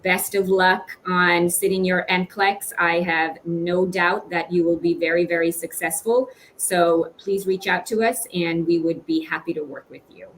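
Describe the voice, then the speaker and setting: Woman, middle-aged, lower voice, encouraging webinar host, faint noise on a video call. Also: steady tone, unhurried, few pauses, poor audio. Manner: encouraging, effusive with praise. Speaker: webinar host and recruitment director. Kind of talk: hosting an online question-and-answer session. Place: small home office indoors. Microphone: computer microphone on video call.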